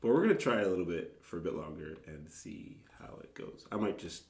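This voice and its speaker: A man chatting casually and self-deprecatingly as an amateur worship musician on a livestream, in a halting, rambling, apologetic way. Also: deep voice, expressive, creaky. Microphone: microphone shared with guitar. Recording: roomy, with guitar music.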